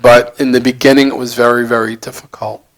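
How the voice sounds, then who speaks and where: A man in his seventies with a heavy Brooklyn accent, speaking in a halting, plain-spoken, self-deprecating way as he answers reflectively in an interview. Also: mid-range voice, flat delivery. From Brooklyn, United States. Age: 70s. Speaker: interview guest.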